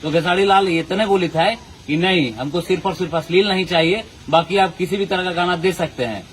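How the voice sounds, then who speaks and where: A man's voice: sits high, brisk, a little hiss or hum, low-quality recording. A man hosting a channel, talking earnestly and pleading with viewers. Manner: earnest, pleading, folded-hands appeal. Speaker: channel host. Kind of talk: casual commentary to viewers.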